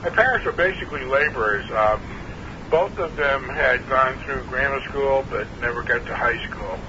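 A man's voice: mid-range voice, moderate pace, muffled recording, background noise. A man in his sixties from New Hampshire, recalling his life in an oral history interview, hesitant with many fillers and a frog in his throat. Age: 60s.

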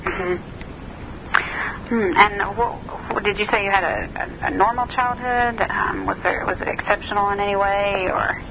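A woman from Ohio conducting an oral history interview by phone, prompting gently with ums and mm-hmms. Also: medium pitch, steady tone, normal speed, gravelly, highs cut off, noisy background.

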